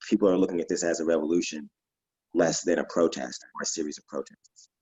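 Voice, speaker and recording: Low-voiced man over a dull line. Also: fairly even intonation, quick pace, darkish tone, slightly rough, no room sound. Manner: matter-of-fact, listing demands with restarts. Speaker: protest organizer guest. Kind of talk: panel conversation. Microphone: video call.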